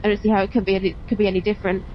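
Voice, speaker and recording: A woman's voice: medium pitch, little pitch movement, rapid-fire. A woman chatting casually and explaining things as she hosts a vlog, speaking through a helmet intercom mic while riding. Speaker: motovlog host. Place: on a motorbike on open roads. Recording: muffled helmet intercom mic with engine noise. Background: engine and road noise.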